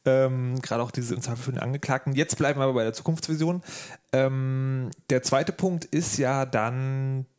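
A man's voice: medium pitch, normal speed, a little mellow. A man hosting an interview, chatting informally and rephrasing his questions as he goes. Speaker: podcast host, interviewer. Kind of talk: casual chat, interview.

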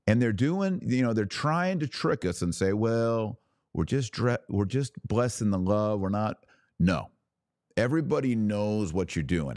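A man's voice: medium pitch, a little mellow, no room sound, clean studio sound. A man hosting a podcast, giving opinionated religious commentary in a preaching style, emphatic, with rhetorical questions and sarcastic asides.

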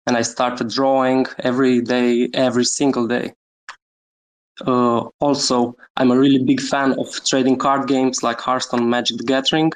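A man from Romania, a guest on a live audio chat, talking about his project with many pauses, fillers and small laughs. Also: medium pitch, flat delivery, rough recording. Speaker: guest NFT creator. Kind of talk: casual chat on a Twitter space.

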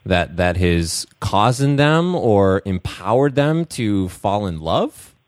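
A man's voice: fairly low pitch, sing-song, slow pace, no room sound. A man interviewing a guest and asking curious, probing questions. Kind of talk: conversational interview.

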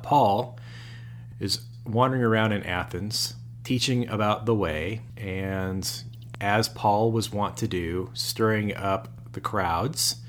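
A man with a very low voice talks through scripture in an easy podcast chat. He explains at length and tosses in dry jokes as he goes. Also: slow, slight background noise. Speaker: podcast co-host. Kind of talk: casual chat on faith and scripture.

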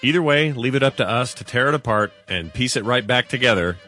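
A man's voice: medium pitch, expressive, brisk, bright, forceful tone, faint music behind. A man hosting a podcast, delivering a scripted promotional narration. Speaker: podcast host.

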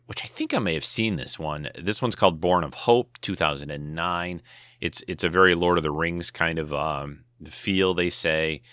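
Middle-aged guy podcasting solo, super deep voice, kinda dry throat, rambles a lot. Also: sharp tone, no room sound. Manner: rambling, many restarts and fillers. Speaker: podcast host. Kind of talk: casual solo talk.

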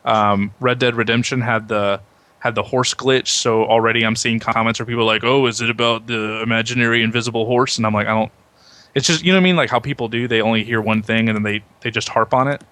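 A man with an average-pitched voice chats casually as a podcast host about his hobby. The recording is dry and close, with very little room sound. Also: steady tone, quick pace, sharp tone, no room sound. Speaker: video game podcast host. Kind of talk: casual chat.

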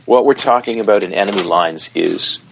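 A man's voice: deep voice, brisk, slight background noise, low-quality recording. A male author being interviewed on a podcast, talking eagerly about his work. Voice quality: gravelly. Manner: gravelly, eager and talkative. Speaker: author guest. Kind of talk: casual interview chat.

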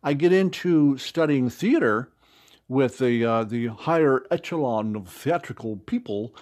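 A man answers interview questions in a casual, conversational way. He talks expressively and rambles like a joking storyteller, at an ordinary middle pitch. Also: lively intonation, unhurried, a little mellow. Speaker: interview guest, audio drama writer and actor.

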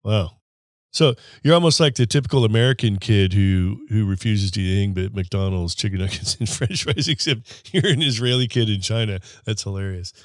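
A man's voice: fairly low pitch, brisk, dry recording, studio quality. A middle-aged man hosting an interview podcast, rambling, chuckling and trailing off. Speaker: podcast host. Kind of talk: casual interview.

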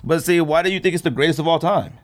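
A man's voice: a little high, fast talker. A man in a casual debate among friends as a podcast host, insistently defending his opinions.

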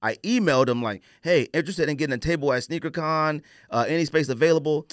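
A man's voice: a little high, fast, dry recording, studio quality. A man hosting a podcast, telling stories in a casual, colloquial, opinionated way and acting out quoted exchanges. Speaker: podcast host.

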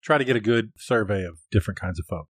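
Lower-voiced man chatting casually, dry sound. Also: fast talker, slightly rough, no room sound. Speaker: podcast co-host. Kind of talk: casual conversation.

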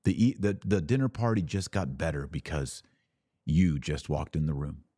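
Deep-voiced man, informal livestream host. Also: normal speed, dark tone, husky, dry recording, clean studio sound. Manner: earnest, exhorting, poses questions and answers them. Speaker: podcast host answering live viewers. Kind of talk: informal livestream advice monologue.

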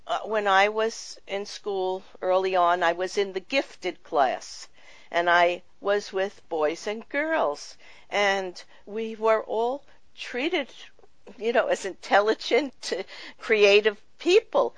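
A woman hosting a spiritual talk show, speaking in a gentle, reflective and earnest way. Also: medium pitch, takes it slowly, no echo. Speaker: show host.

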